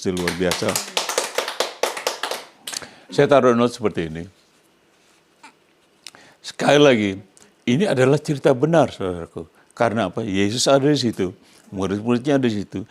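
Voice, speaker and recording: An older man from Indonesia with an Indonesian accent, a pastor preaching to a congregation in an emphatic way, often prompting them with questions. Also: mid-range voice. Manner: emphatic, asks the congregation questions to complete his phrases. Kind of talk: sermon to a congregation.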